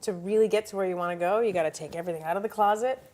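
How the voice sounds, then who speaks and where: A woman's voice: medium pitch, very fast, darkish tone. Bubbly woman talk show co-host. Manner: bubbly, laughs often. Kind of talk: casual talk show chat.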